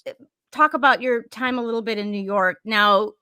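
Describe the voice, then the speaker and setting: Co-host woman, a little high, encouraging, stumbles over her words, dry sound. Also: moderate pace, sharp tone, clean tone, no echo. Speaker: podcast co-host. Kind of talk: casual interview.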